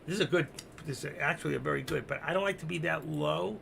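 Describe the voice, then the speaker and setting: A man with a slightly high but very gravelly, creaky voice gives live, off-the-cuff trading commentary. He sounds hoarse, as if his voice is wearing out. He talks through his thinking and instructs viewers repetitively, with faint noise in the background. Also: fast, fairly bright tone. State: voice giving out, hoarse. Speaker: live stock-trading streamer.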